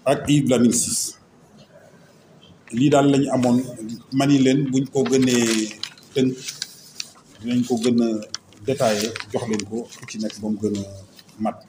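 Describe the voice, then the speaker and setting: A man with a Senegalese accent speaking as a local mayor, making a semi-formal announcement in a halting, list-like way. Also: medium pitch, flat delivery. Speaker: local mayor and association vice-president. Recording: roomy echo, faint background noise.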